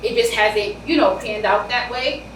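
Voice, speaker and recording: Animated New York woman, roomy distant sound. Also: a little high. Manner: animated, loud, quick bursts with laughter. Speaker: author guest. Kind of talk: casual interview chat. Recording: roomy, distant mic, faint hiss.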